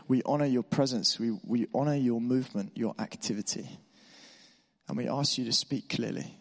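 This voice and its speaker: Male preacher, slightly hoarse, encouraging and informal, mid-range voice, ringing church hall reverb. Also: medium pitch. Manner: warm, encouraging, informal with asides. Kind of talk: church sermon.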